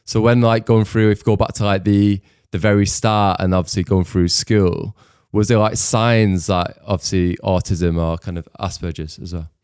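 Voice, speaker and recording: A man hosts a podcast in a casual, rambling style. His voice is fairly low and very smooth, recorded close and dry. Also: steady tone, moderate pace, darkish tone, dry recording. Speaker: podcast host, interviewer.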